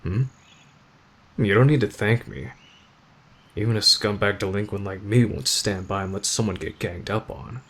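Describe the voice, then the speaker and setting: A man acting in an ASMR roleplay as a gruff, aloof teenage delinquent, deadpan and terse but protective of a friend. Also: fairly low pitch, moderate pace, pauses often. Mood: gruffly caring.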